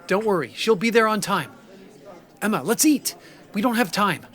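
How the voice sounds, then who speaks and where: A man's voice: high voice, animated, normal speed, background noise. A man playing a bossy, oblivious fiancé in an audio drama, pushy and condescending. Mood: impatient. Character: self-absorbed, bossy cheating fiancé, oblivious young man. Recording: music under the voice.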